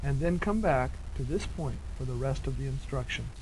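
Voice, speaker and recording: A man's voice: mid-range voice, lively intonation, normal speed, darkish tone, a little hiss or hum, rough recording. A man giving methodical, step-by-step scripted instructional narration, recorded on a 1970s cassette tape.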